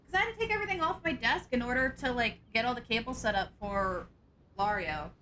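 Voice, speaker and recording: A young woman with a sore throat chatting casually and excitably on a live stream, scatterbrained and full of gasps. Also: a little high, fast talker, slight background noise. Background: background music.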